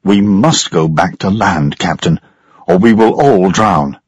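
A man's voice: low voice, measured pace, husky, dry recording, muffled recording. A man voicing a frightened but firm male engineer travelling on a ship. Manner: urgent, firm. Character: alarmed male engineer passenger.

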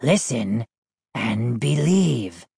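A woman voicing a sly male mischief gnome in a children's audiobook, raspy and soft-spoken. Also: rumbling low, big pitch swings, no room sound, studio quality, heavily acted. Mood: solemn. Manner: soft, slow explaining. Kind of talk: audiobook character voice.

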